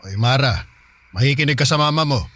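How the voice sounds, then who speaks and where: A man's voice: medium pitch, brisk, sharp tone, a little hiss or hum, lightly acted. A man acting a patient, encouraging middle-aged Filipino father in a radio drama.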